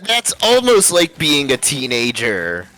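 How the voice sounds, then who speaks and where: A man's voice: mid-range voice, huge pitch swings, measured pace, bright, forceful tone, soft background music, exaggerated voice, low-quality recording. A man voicing a spoiled, snobbish eleven-year-old cartoon girl who whines. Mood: whiny, snobbish. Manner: whining.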